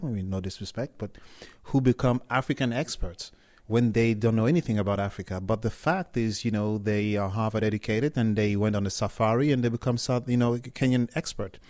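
A man's voice: medium pitch, darkish tone, no room sound, clean studio sound. A man from Cameroon, a startup founder, answering interview questions candidly with hesitant restarts.

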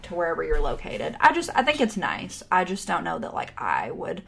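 A young woman with a fairly low voice that still swings up and down a lot as she talks. She co-hosts a casual chat podcast, joking about herself and leaning on "like" as she goes. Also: very animated, brisk, vocal fry, fairly bright tone, breathy edge. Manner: self-deprecating, chatty, lots of "like". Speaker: podcast co-host.

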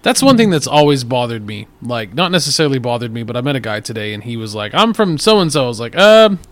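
A man's voice: medium pitch, big pitch swings, fast. A man from the Texas Panhandle hosting a podcast, chatting casually and rambling into asides. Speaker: podcast host. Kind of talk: casual chat.

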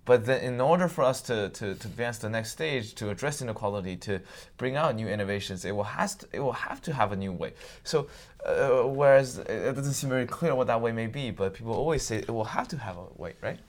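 A man's voice: medium pitch, expressive. A man hosting an interview, asking long questions with frequent restarts and stammers. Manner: halting, restarts and stammers while framing questions. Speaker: interviewer, host.

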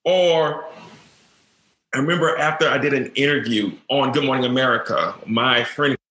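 Earnest stuttering man, bright voice. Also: mid-range voice, normal speed, echoey room, slight background noise, low-quality recording. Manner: stutters, repeats words, earnest. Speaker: teacher. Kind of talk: personal storytelling interview.

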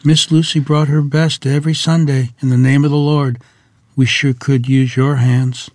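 A man's voice: medium pitch, level tone, moderate pace, no echo, lightly acted. A man playing a courteous, devout rural piano tuner in a period table read, deferential and folksy. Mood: earnest, persuasive. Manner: deferential, folksy, gently persuasive.

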